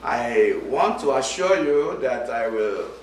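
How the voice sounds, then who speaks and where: A man with a Nigerian accent preaching a sermon, speaking slowly and distinctly and reading scripture aloud. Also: medium pitch, animated, a little hiss or hum. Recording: echoey room, from far off.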